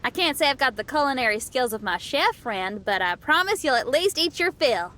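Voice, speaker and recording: A woman voicing a lively, friendly young woman in a video game, upbeat and casual. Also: high-pitched, fairly quick, noisy background. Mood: upbeat, hospitable. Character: lively, friendly, generous young female camper and cook. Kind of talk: video game character acting.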